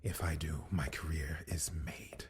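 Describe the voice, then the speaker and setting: An actor playing an ambitious, self-important crown prosecutor in an audio drama, speaking formally and with command. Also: male voice, rumbling low, steady tone, noisy background. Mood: secretly gleeful. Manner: formal, imperious, deliberate.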